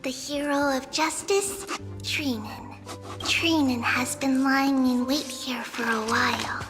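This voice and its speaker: A woman's voice: high voice, fairly even intonation, slow. A woman voicing a mischievous, playful little girl in a video game, singsong and speaking of herself in the third person. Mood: teasing. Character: playful mischievous little girl, one of many identical selves. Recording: music behind the voice.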